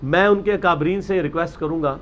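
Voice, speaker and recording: A man's voice: on the high side, brisk, a bit bright, lo-fi sound, a little hiss or hum. A man giving a religious talk, arguing his point with rhetorical questions and emphasis. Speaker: religious speaker. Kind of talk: lecture on religious practice.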